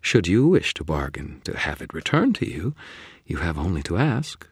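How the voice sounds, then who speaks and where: A man's voice: deep voice, sing-song, normal speed, breathy edge, no echo, studio quality, full character voice. A man voicing a sly, seductive female fairy who purrs and bargains with teasing whispers. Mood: mocking. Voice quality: purring, teasing, whispery at times. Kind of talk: audiobook character voice.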